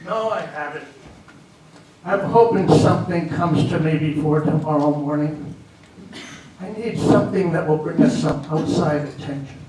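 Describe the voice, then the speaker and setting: A man's voice: a little high, moderate pace, dark tone, natural voice. A man playing a preoccupied small-town mayor, earnest and insistent, in a staged reading. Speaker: amateur stage actor. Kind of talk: readers theater stage performance. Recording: echoey hall, distant mic, faint noise.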